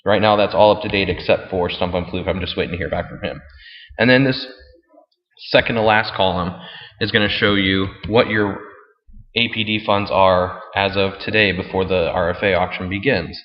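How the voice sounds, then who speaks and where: A man's voice: steady tone, moderate pace. A man giving a casual, plainspoken step-by-step tutorial as he walks through a screen. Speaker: fantasy football league commissioner. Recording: muffled, boomy room with long reverb.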